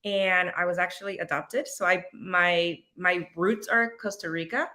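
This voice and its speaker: A woman's voice: fairly low pitch, fairly even intonation, unhurried, sharp tone. A woman in her fifties from Puerto Rico, a native Spanish speaker, chatting casually as an interview guest and animatedly acting out her own thoughts. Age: 50s. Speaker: guest, veteran teacher.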